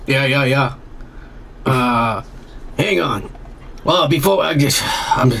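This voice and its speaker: A man's voice: medium pitch, slow, vocal fry, a bit bright, a little hiss or hum. A man hosting a podcast in loose, profane, rambling banter with his co-host. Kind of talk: casual podcast chat.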